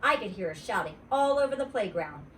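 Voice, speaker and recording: Animated woman reading a storybook aloud. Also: medium pitch, brisk. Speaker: teacher reading a children's book. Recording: roomy, distant mic, faint noise.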